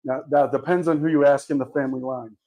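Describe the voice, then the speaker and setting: A man's voice: mid-range voice, steady tone, fast, dark tone, low-quality recording. A man guest at a cigar shop podcast, telling his story in an easygoing, chuckling way. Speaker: guest, cigar brand owner. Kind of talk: casual interview storytelling.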